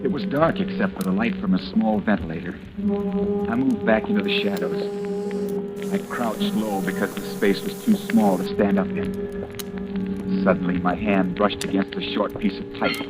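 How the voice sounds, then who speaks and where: An actor playing a haunted fugitive who narrates his own story gravely and deliberately in an old radio drama. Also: lowish voice, expressive, close to an everyday voice, rough recording. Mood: tense. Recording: old radio recording with music behind.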